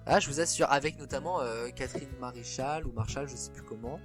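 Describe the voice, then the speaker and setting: Male quiz host, mid-pitched, casual and digressive, self-deprecating, with music in the mix. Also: a little fast. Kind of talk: casual, chatty quiz hosting.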